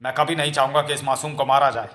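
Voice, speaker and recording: Man voicing a stubborn old landlord, sharp-toned. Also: medium pitch, fairly even intonation, fast, lightly acted. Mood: pleading, remorseful. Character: rich, stubborn, scheming old village landlord father. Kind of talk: amateur comic-book dramatization.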